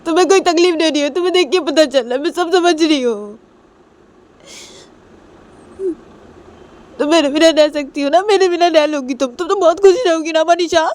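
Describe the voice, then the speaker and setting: A woman's voice: extremely high pitch, fast talker, few pauses, a little mellow, pitched above the performer's own voice. A woman voicing a tearful, clingy young mother, pleading repeatedly in a sobbing, whiny delivery. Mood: tearful accusing. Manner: repeats short pleas insistently.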